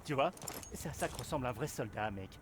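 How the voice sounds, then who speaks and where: A man's voice: medium pitch, animated, fast, heavy background noise, close to an everyday voice. A man playing a cheeky, mocking, foul-mouthed soldier in a game drama, teasing and sarcastic. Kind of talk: dramatic game acting in French.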